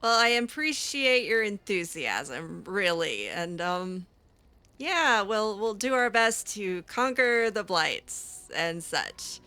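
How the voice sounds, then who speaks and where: A woman's voice: mid-range voice, sing-song, slow, bright, forceful tone. A woman roleplaying over a video game, talking casually and hesitantly with a wry edge. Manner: hesitant, wry, lots of ums. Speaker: roleplay gamer. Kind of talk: casual in-character roleplay chat.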